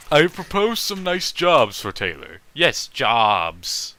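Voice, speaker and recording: A man reading fan fiction aloud as host, breaking off for animated, opinionated and profane casual commentary. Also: a little high, no room sound.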